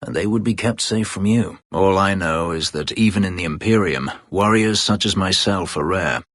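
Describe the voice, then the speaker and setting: A man playing a bitter, brooding escaped slave warrior in a fantasy game, with a gravelly voice and terse, formal, measured delivery. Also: a bit bright, no echo, clean studio sound. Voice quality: low, gravelly, husky. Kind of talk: dramatic fantasy game voice acting.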